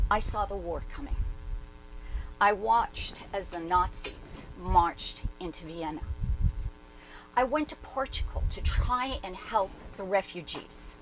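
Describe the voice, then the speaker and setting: A woman playing a skeptical, hard-boiled former war correspondent, speaking in a candid, halting first-person monologue for a dramatic reading. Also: mid-range voice, slight background noise, lightly acted, poor audio. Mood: grave, bitter.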